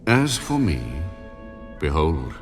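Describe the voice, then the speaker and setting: Deep, slow, solemn Almighty God. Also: male voice, sing-song, faint music behind, exaggerated voice. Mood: solemn, commanding. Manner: solemn, commanding, measured. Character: Almighty God, solemn male deity making a covenant. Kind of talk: dramatized scripture acting.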